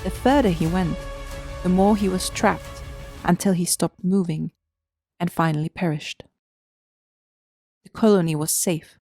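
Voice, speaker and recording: A woman's voice: lowish voice, a little slow, pauses often, warm tone, dry recording, soft background music. A woman narrating a scripted story in a storybook style.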